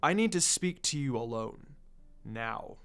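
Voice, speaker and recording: Man reading as laid-back ninja teacher, low-key. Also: sing-song, unhurried, fairly bright tone, clear, resonant tone. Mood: cool, serious. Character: laid-back adult male ninja teacher, quietly amused, unhurried. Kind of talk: fan fiction story reading.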